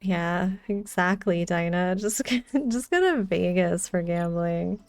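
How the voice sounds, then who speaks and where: A woman's voice: fairly low pitch, steady tone, takes it slowly, darkish tone, dry recording, faint music behind. Woman streamer chatting casually over a game. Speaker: live streamer playing a video game. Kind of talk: casual chat with viewers during gameplay.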